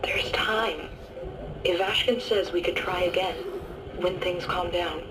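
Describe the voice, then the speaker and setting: A woman's voice: fairly low pitch, fairly even intonation, slow pace, distant mic, heavy background noise. An actress playing a weary, resigned female station survivor in a dramatic video game. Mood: consoling.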